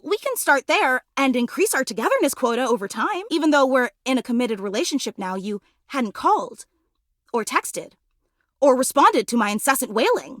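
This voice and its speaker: Casting: an obsessive lovestruck superfan for a dating game. High, wildly swooping delivery, needy and theatrical. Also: female voice, a bit bright, no room sound, studio quality. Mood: eager, clingy. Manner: theatrical, gushing, needy, melodramatic. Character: obsessive, clingy, gushing lovestruck female superfan ceiling fan. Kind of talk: video game character acting.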